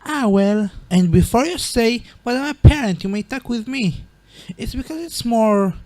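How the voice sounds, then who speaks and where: A man's voice: very high voice, expressive, moderate pace, a little mellow, dry recording, voice pushed up. A man voicing an earnest, guilt-ridden teenage goat-monster son in a comic dub, with a casual, hesitant way of talking. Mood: awkward.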